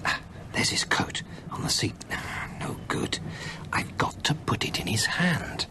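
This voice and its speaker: A hunted British gentleman in a radio play, nervous and hesitant. His voice swings widely between speech and hushed, secretive muttering, with clear noise behind him. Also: lowish voice, big pitch swings, a little slow. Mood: tense, furtive. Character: anxious, hunted gentleman steeling himself in secret. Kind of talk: British radio drama acting.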